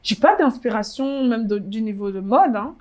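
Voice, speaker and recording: A woman's voice: fairly high pitch, animated, normal speed, darkish tone. Hesitant young woman from Congo. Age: 20s. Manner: hesitant, many fillers and restarts. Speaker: interviewee, fashion blogger. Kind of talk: casual interview chat.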